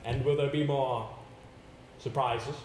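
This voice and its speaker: A man acting in a stage play as a polite, anxious, theatrical English gentleman with formal, hesitant phrasing. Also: medium pitch, steady tone, slow, natural voice. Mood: awed, effusive. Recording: roomy, noisy stage play recording.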